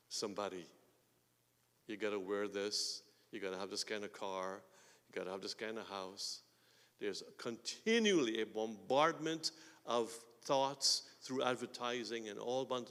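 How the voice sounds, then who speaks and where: A man with a Guyanese accent preaching a sermon as pastor, swinging between quiet asides and loud emphatic bursts. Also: medium pitch, clean tone. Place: church sanctuary. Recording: long hall reverb.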